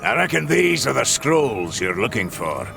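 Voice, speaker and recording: A man voicing a brash, battle-hungry axe warrior with a gruff, gravelly, cocky manner. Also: medium pitch, sing-song, normal speed, recorded from a distance, over music. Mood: eager. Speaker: game hero.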